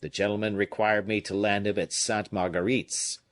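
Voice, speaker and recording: A man voicing an excitable Provençal fisherman with a Provençal accent, telling his tale loudly and emphatically. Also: on the low side, moderate pace, lightly acted. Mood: agitated. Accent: Provençal French, as acted. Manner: loud, emphatic, indignant storytelling.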